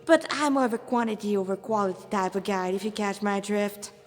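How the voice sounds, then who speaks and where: A woman's voice: mid-range voice, brisk, lightly acted. A woman voicing a vain, mocking male demon warlord from a fan story, with a languid, taunting delivery. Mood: smug. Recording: reverberant echoey room.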